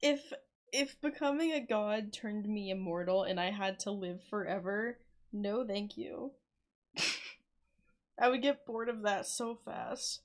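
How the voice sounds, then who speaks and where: A woman's voice: medium pitch, takes it slowly. A woman streaming a game, giving casual, offhand live commentary. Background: game music.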